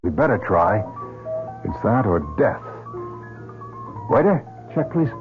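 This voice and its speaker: A man's voice: medium pitch, big pitch swings, slow pace. An actor playing a worried man on the run who talks in an urgent, earnest way, in a radio drama. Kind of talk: 1970s radio drama acting. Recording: tinny old radio broadcast with music.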